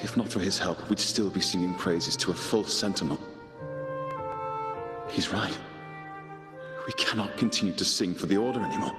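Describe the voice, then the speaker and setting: An actor playing an earnest, resolute knight in a video game, speaking in a grave, declamatory monologue. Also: male voice, deep voice, huge pitch swings, moderate pace, pauses often, over loud music. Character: earnest, resolute knight turning against his corrupt order. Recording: echoing reverberant hall effect.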